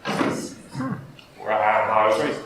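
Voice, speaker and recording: A man from Poland moderating a convention panel, measured and lightly wry. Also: lowish voice. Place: large convention room. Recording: echoey room, far from the mic, clear noise.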